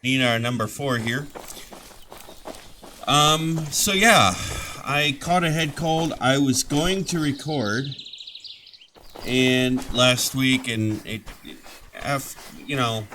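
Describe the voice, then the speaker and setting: A man's voice: medium pitch, takes it slowly, pauses often, bright, forceful tone, a little hiss or hum. A man hosting a gaming channel, chatting casually over his gameplay, rambling and restarting his sentences as he gets over a head cold.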